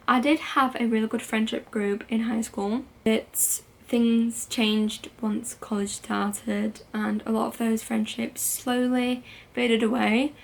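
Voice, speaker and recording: Teenage woman with vocal fry. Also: fairly high pitch. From United Kingdom. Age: late teens. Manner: candid and chatty, with frequent "like" and "um" fillers. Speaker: vlogger. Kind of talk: casual confessional talk to camera.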